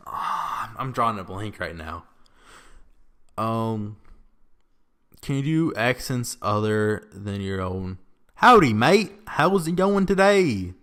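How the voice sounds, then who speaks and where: Slow-talking Midwestern young man. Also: on the low side. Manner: relaxed, rambling, frequent ums and likes. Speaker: YouTuber. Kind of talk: casual Q&A vlog. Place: echoey room.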